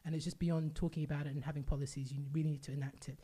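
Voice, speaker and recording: Fast-talking low-voiced woman. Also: level tone, mellow, slightly rough. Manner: enthusiastic, chatty. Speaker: podcast host. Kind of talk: casual podcast conversation.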